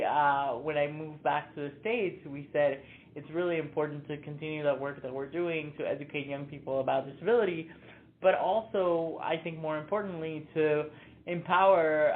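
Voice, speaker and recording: A young man raised in Mexico answering interview questions earnestly and thoughtfully, with many fillers and restarts. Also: on the high side. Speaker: interview guest, nonprofit founder. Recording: tinny phone-like line.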